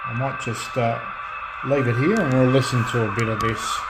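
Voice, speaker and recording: A man hosting a casual hands-on radio demonstration, talking in a halting way as he thinks aloud. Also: mid-range voice, fairly even intonation, heavy background noise. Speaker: radio restorer and video host.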